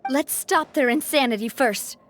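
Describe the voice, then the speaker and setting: A woman's voice: high voice, moderate pace, faint music behind, lightly acted. An actress playing a caring, resolute young female gang boss in a video game, speaking earnestly and with command.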